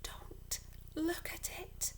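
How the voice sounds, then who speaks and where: A woman voicing frightened teenagers in a storybook, in a hushed, breathy, fearful whisper. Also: very slow. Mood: terrified whisper. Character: frightened teenage children whispering in a monster's cave.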